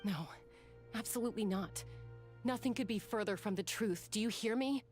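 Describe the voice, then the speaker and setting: A woman's voice: medium pitch, normal speed, a little mellow, soft background music, lightly acted. A woman playing a protective, earnest older sister and warrior in a video game, firm, reassuring and emotional. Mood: insistent, emotional. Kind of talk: dramatic video game voice acting.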